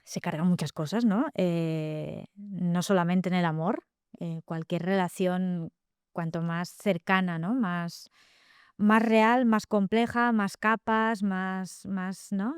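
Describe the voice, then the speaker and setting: A middle-aged woman with a Catalan accent, a novelist talking reflectively in an interview, often pausing with fillers and tag questions. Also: medium pitch, steady tone, clean tone, no room sound, clean studio sound. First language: Spanish. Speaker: guest novelist. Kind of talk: thoughtful interview talk.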